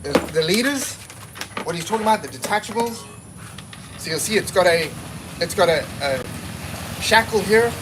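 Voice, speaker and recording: A man's voice: high-pitched, lively intonation, moderate pace, pauses often, background noise. A man giving a casual, practical talk at a seminar, plainspoken and given to repeating himself while he explains. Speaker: seminar presenter.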